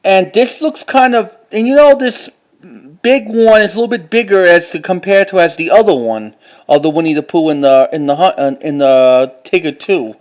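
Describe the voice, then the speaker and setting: A man with a distinctly high-pitched voice presents collected items in a casual vlog style. His delivery is informal and halting, and he often repeats phrases as he moves through his show-and-tell. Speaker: collector showing items to camera.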